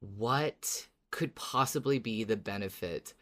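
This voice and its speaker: Very deep-voiced woman podcaster. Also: slow, a bit bright. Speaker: podcast co-host. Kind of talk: casual fan discussion of an audio drama.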